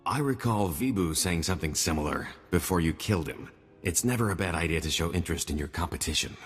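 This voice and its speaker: An actor playing a dry, knowing onlooker in a video game, wry and understated. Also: male voice, low voice, animated, fast, slightly rough, soft background music. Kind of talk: scripted video game voice acting.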